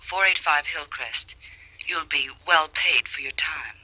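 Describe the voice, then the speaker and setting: A secretive, pressing American society wife in an old radio mystery. Her voice comes through a telephone filter, very sharp and husky, with no room sound. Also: low voice, unhurried, dry recording, full character voice, poor audio. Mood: urgent, anxious. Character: urgent, secretive wealthy married woman on telephone. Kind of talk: 1940s American radio drama acting.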